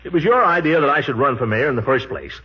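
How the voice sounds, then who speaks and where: An actor playing a pompous, excitable middle-aged small-town bachelor in a 1940s radio comedy. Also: male voice, mid-range voice, fast talker, slightly rough. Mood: indignant. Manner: blustering, indignant, chuckling. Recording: dull 1940s radio recording.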